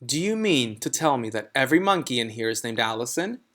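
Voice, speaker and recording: A man's voice: mid-range voice, very animated, brisk, bright, forceful tone. A man voicing a nice, dotty, easily astonished woman schoolteacher with an emphatic, exclaiming manner.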